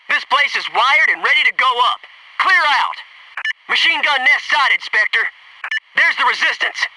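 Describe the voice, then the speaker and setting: A man's voice: high-pitched, bright, forceful tone, distant mic, a little hiss or hum, full character voice, poor audio. A man playing a cocky, wisecracking Navy SEAL squadmate in a military shooter game, with wry, slangy, gung-ho delivery.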